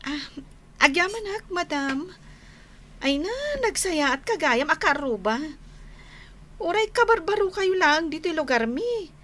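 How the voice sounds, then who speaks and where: Exasperated young wife, nagging and emotional, very high pitch, slow-ish delivery, radio drama. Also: female voice, expressive, fairly bright tone, slight background noise. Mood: friendly, curious. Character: exasperated young wife scolding her drinking husband. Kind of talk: Ilocano radio drama acting.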